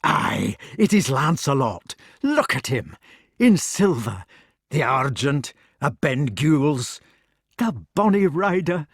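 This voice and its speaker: A man voicing a big, blunt knight with a gruff, low delivery. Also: very animated, no room sound, clean studio sound, heavily acted. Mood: admiring. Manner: gruff, deep, slow drawl. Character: big bluff Scottish knight, blunt and hearty.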